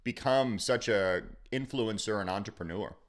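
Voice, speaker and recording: A man's voice: on the low side, moderate pace. A man hosting a business podcast, asking curious questions and giving short affirming responses. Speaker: podcast host. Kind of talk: podcast interview.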